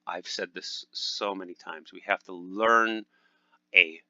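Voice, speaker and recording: A man hosts a language lesson with a bright, sharp edge to his lower voice. His upbeat talk is full of fillers and restarts. The sound is dry and a little dull, like a modest home mic. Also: steady tone, takes it slowly, no room sound. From United States. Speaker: language teacher and channel host. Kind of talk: casual instructional talk over gameplay.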